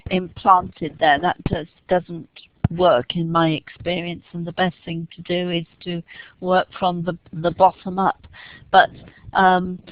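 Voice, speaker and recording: A panelist on a webinar speaking evenly, with some fillers. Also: medium pitch. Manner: flat and even, with fillers. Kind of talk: webinar discussion. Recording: muffled lo-fi web call audio.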